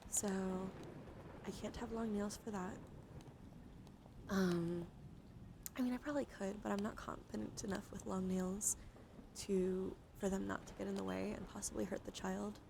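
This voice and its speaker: A woman hosting an ASMR livestream, speaking softly and deliberately, often slipping into whispers and gently repeating phrases. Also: mid-range voice, fairly even intonation. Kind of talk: ASMR, slow soft talk to live chat. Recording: clearly audible background noise.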